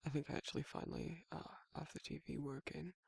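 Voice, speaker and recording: A man acting as a down-to-earth werewolf boyfriend in an ASMR audio roleplay, protective and fond. His voice is slightly high for a man but very husky and heavily creaky, murmured casually and intimately. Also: steady tone, quick pace, no room sound, full character voice. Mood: teasing, affectionate. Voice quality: husky, breathy, gravelly, often dropping to a whisper. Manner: casual, colloquial, soft-spoken intimate murmur with soft chuckles.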